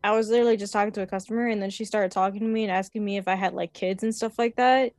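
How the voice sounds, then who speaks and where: Young woman, casual chat, rambling with fillers, medium pitch, dry sound. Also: steady tone, rapid-fire, darkish tone, no echo. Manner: chatty, many fillers and laughs. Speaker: podcast guest.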